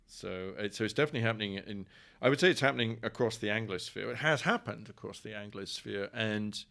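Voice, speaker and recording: A man's voice: on the low side, quick pace, gravelly, fairly bright tone. A middle-aged man with an English accent talking discursively and wryly as a guest in a conversational interview, often repeating words as he thinks. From England. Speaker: professor, podcast guest.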